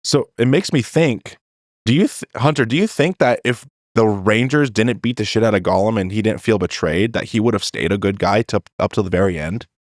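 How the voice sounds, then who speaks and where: Low-voiced man, casual podcast host. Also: a little fast, no room sound, studio quality. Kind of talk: casual film chat, sweary.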